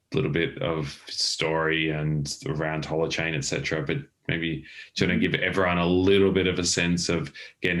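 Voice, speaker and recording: A man's voice: extremely low pitch, fairly bright tone. A man hosting a casual interview over a video call, rambling and joking, often restarting his sentences. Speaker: host.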